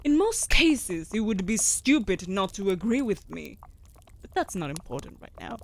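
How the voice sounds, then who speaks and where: A woman playing a sharp-tongued, bossy wolf familiar in a fantasy audio roleplay, speaking in a haughty, sardonic, formal way. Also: medium pitch, sing-song, moderate pace.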